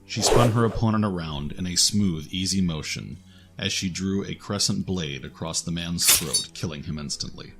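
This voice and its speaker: A man narrating an action audio drama in a scripted storytelling style. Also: low voice. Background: music.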